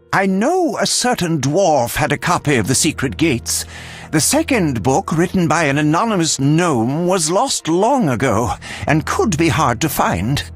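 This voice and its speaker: An actor playing an eccentric, self-important alchemist in a video game, obsessive and theatrical, with fussy delivery. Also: male voice, on the high side, slightly rough, full character voice.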